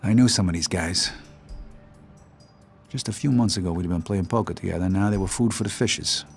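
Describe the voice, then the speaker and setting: Bitter New gangster, low gruff narrator for a crime game, cynical and profane, music underneath. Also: male voice, fairly quick. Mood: cold, resentful. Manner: gruff street slang, cynical, swearing. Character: bitter, foul-mouthed American mobster recounting a betrayal. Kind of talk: video game cutscene narration.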